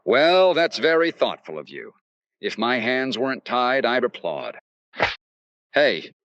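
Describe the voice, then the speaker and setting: A man playing a brisk, sardonic, confident insurance investigator in an old-time radio detective drama. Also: mid-range voice, very animated, fairly bright tone, dry recording, dull audio. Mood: sarcastic. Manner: sardonic, snappy.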